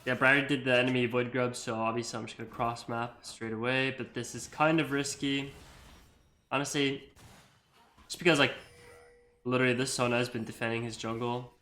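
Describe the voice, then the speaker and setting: A man's voice: mid-range voice, fairly even intonation, fairly quick, sharp tone. A young man from Iceland with an American accent, chatting casually over his gameplay in a rambling way full of fillers. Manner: rambling, full of likes and you-knows. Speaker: gamer and video creator.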